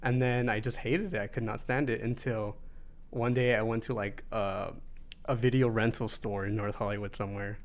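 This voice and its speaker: A man's voice: fairly low pitch, normal speed. A man, a podcast guest from Southern California, chatting casually and telling stories with lots of fillers. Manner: relaxed storytelling with many fillers. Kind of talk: casual chat.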